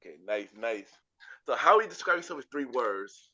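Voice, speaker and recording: Male host, forceful bright tone, casual repeats; muffled lo-fi audio. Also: medium pitch, fairly quick. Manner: repeats and restarts phrases, relaxed slangy talk. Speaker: podcast host. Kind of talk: casual interview chat.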